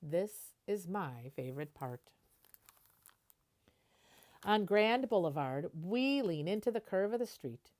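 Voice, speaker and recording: A woman reading a children's picture book aloud in an animated way, stopping to explain and translate as she goes. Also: lowish voice, slow pace, long pauses.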